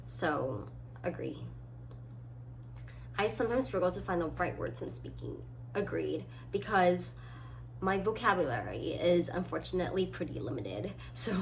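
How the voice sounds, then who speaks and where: A woman of about twenty chatting casually as a solo vlogger, reading items aloud and thinking through short, halting answers. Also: fairly low pitch, low-quality recording. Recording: clearly audible background noise.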